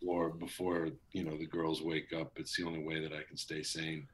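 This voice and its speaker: Deep-voiced man chatting casually. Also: moderate pace. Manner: relaxed, rambling, many fillers and restarts. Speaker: voice actor. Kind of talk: casual interview chat. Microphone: video call.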